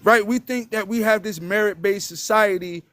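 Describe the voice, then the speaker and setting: Blunt, high-voiced man, dry sound. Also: normal speed, fairly bright tone, no room sound. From Detroit, United States. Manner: blunt, profane, emphatic, keeps tagging "right?". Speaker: guest. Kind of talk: casual live-stream conversation.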